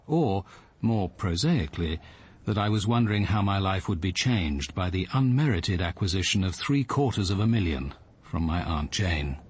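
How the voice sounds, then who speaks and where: Erudite detective's inner narration for radio crime drama. Deep, slightly rough, measured. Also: male voice. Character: reflective, literary male detective narrating inwardly. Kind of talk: first-person literary radio drama narration.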